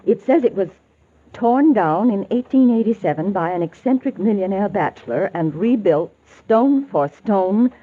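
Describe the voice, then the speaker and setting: An anxious woman acted by a radio actress, earnest and pleading, with a mid-pitched voice. She delivers her lines in urgent 1940s radio drama style. The old recording sounds boxy and narrow. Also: animated, normal speed, lightly acted. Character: anxious, earnest female client pleading for help.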